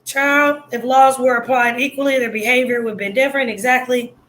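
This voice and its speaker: A hoarse woman giving casual, blunt live reaction commentary full of slang. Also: on the high side, fairly even intonation, fairly quick, a bit bright. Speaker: livestream commentator.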